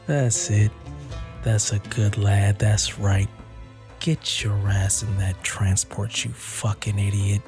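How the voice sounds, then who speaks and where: Vampire lady's lackey: very low, drawn-out delivery, crude and folksy, audio drama. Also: steady tone. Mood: jeering. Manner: jeering, folksy. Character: crude, mocking male henchman serving a vampire lady. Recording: music behind dramatic dialogue.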